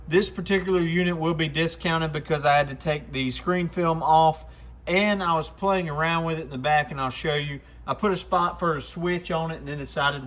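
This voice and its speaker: A man's voice: fairly high pitch, a bit bright. A man chatting in an informal, folksy way as he gives a casual product demonstration like a shop presenter.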